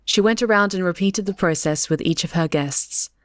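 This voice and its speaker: Slightly low-voiced woman narrating fiction. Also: normal speed, dry recording. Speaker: audiobook narrator. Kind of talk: scripted story narration. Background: soft music.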